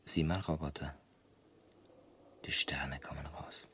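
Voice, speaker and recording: A confused earnest guy in a radio play, low voice, slow and hesitant, faint noise behind. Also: fairly even intonation, heavily acted. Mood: dreamy, wistful. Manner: hesitant, soft-spoken, wondering. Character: bewildered, earnest ordinary man from Earth, easily startled. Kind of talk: German radio drama acting.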